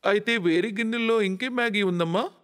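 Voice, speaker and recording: A man's voice: sits high, fast, fairly bright tone, smooth. A man voicing a hungry, expectant uncle in a story. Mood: puzzled. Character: hungry, expectant elder male relatives at dinner. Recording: long reverberant hall echo.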